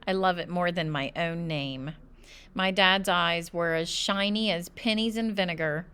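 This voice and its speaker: A woman reading a children's book aloud as an expressive narrator. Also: on the low side, unhurried, smooth. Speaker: storybook reader.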